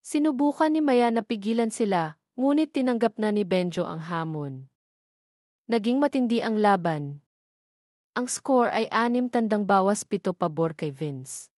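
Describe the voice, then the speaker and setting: A woman's voice: medium pitch, lively intonation, normal speed, a little mellow, dry recording, studio quality. A woman with a Filipino accent reading a scripted romance story as its narrator.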